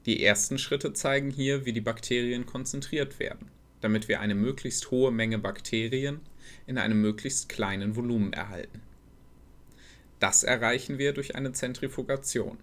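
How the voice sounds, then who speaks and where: A man reading scripted narration for an educational science film, explaining and storytelling as he goes. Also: mid-range voice.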